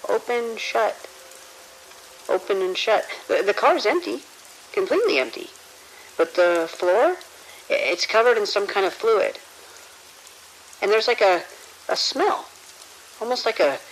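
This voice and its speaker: A woman's voice: medium pitch, fairly bright tone, slight background noise, rough recording. A woman playing a nervous security officer on night shift in an audio drama, speaking hesitantly with stammers. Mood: frightened, hesitant. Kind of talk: immersive audio drama acting.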